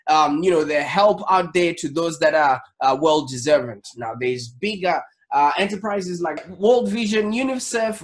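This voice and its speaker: A man in his thirties presenting an online interview show in an enthusiastic, wordy and emphatic way. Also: sits high. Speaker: show host. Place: small home office, indoors. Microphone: desk microphone.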